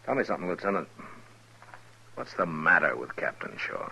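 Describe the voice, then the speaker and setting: An American man playing a steady, sardonic Old West marshal in a dry, laconic 1950s radio acting style. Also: deep voice, moderate pace, gravelly, breathy edge, heavily acted. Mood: measured, courteous. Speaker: lead actor and narrator. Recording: muffled 1950s radio recording, music behind.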